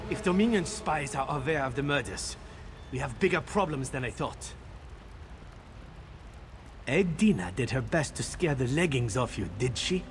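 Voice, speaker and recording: A man's voice: mid-range voice, animated, normal speed, long pauses, a little hiss or hum, lightly acted. A man playing a wry, teasing official in a video game, handing out a task in a businesslike way. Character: wry official offering a bounty. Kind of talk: video game character acting.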